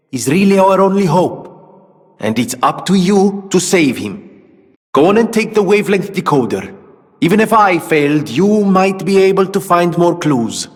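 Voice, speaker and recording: A dashing, eccentric male inventor from a video game, haunted yet earnest. His delivery is theatrical and eloquent, unfolding at a very unhurried pace. The voice sits a little high for a man and rings with a long hall reverb. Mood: urgent hope. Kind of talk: dramatic video game character dialogue.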